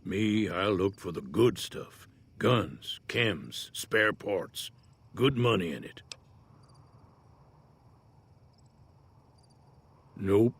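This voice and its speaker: An actor playing an easygoing older American prospector in a Western-style video game, folksy and laconic in manner. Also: male voice, on the low side, expressive, slow pace, fairly bright tone, breathy edge, heavily acted. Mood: matter-of-fact. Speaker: game character, townsfolk prospector. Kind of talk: video game character dialogue.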